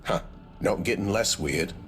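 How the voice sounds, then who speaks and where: A man's voice: low-pitched, unhurried, soft background music. A man playing a wry, down-to-earth secret agent in a video game, casual and sardonic. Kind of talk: scripted video game cutscene acting.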